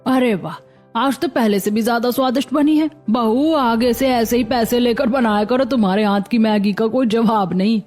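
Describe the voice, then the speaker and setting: A woman playing a friendly, appreciative neighbour who insists warmly in a cartoon story. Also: on the high side, moderate pace, faint music behind, natural voice. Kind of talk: Hindi cartoon story acting.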